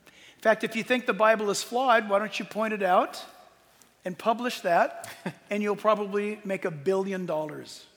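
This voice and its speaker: High-voiced, gravelly man in an echoing hall. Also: moderate pace, a bit bright. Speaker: Bible teacher and tour leader. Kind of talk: informal preaching talk to an audience. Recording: long hall reverb.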